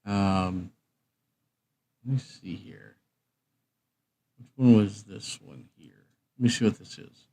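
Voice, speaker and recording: A man's voice: lowish voice, takes it slowly, long pauses, mellow. A man hosting a livestream, chatting casually in an informal, joking way. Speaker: livestream host. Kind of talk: casual rambling chat.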